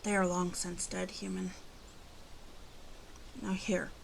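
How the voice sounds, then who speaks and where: A woman voicing a guarded, grieving female werewolf in an ASMR roleplay, halting and brooding, often dropping to breathy half-whispers. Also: fairly low pitch, level tone, a little hiss or hum, heavily acted. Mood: subdued, softening. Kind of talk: ASMR roleplay monologue addressed to listener.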